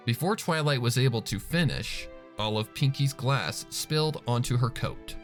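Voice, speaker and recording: A man narrating a dark story from a script in a dramatic reading style. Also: mid-range voice, animated, normal speed, faint music behind. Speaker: fan fiction reader.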